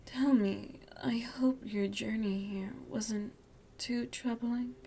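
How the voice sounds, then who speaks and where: A woman playing an elegant, seductive, motherly vampire lady of the manor in a softly half-whispered ASMR roleplay, genteel and coaxing. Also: on the high side, steady tone, slow, vocal fry, slight background noise. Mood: gracious, welcoming. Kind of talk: ASMR roleplay, soft breathy speech.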